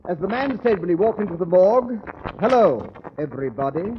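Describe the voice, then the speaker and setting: A pompous theatrical comedian, swoopy high voice, 40s radio comedy, muffled sound. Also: male voice, big pitch swings, fairly quick. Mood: jovial. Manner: theatrical, grandiose. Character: pompous, hammy male stage comedian with grand airs. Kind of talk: 1940s radio comedy acting. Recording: muffled vintage radio, slight noise.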